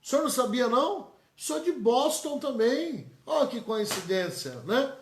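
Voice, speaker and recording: Echoey-voiced São pastor. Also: male voice, very high-pitched, very animated, normal speed, a little hiss or hum. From São Paulo, Brazil. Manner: emphatic and repetitive, folksy asides to viewers. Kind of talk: live-streamed church announcements and blessings. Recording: echoey church room.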